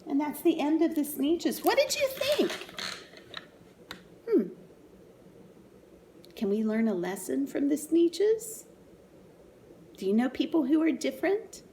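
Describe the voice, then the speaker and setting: Lady reading a kids book slow n animated, kinda high sing-song voice, bit of background noise. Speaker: storyteller reading a picture book to young children. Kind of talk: expressive read-aloud with asides and questions to children.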